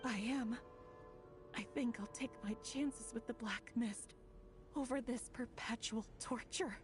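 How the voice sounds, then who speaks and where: A woman's voice: on the high side, a little slow, music in the background, lightly acted. An actress playing a weary, despairing fugitive woman in a video game, bitter and worn down. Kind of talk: dramatic video game voice acting.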